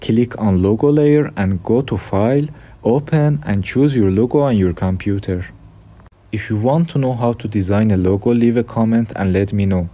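A young man from Iran with an Iranian accent, hosting a software tutorial with plain step-by-step instructions. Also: on the low side, moderate pace. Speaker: tutorial host. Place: dim room at a desk.

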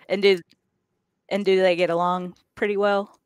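A woman in her forties hosting a podcast, asking questions in a relaxed, encouraging way through a headset mic. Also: medium pitch, clear, resonant tone, no echo, clean studio sound. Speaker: podcast host. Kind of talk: casual interview. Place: indoor room.

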